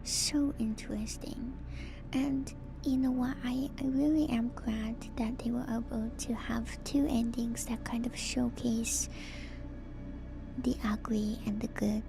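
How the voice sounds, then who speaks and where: A woman streamer whispering softly and breathily close to the mic in an ASMR style, gently repeating words as she reads and comments. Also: on the high side, steady tone, slow, vocal fry, music in the background. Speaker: VTuber streamer.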